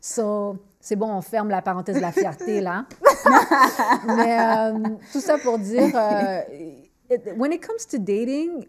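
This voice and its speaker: A woman's voice: a little high, slow. A woman in her forties from Montreal with a Montreal French accent, hosting a podcast and telling candid stories while switching freely between French and English. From Montreal, Canada. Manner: lively, switches freely between French and English, repeats words when thinking. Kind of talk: candid conversational storytelling.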